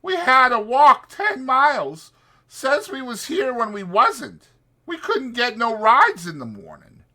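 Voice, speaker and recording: A man's voice: very high-pitched, sing-song, takes it slowly, pushed and bright, pitched above the performer's own voice, full character voice. A man voicing a wary, skeptical migrant ranch worker with terse, rustic speech for a dramatized audiobook. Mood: guarded.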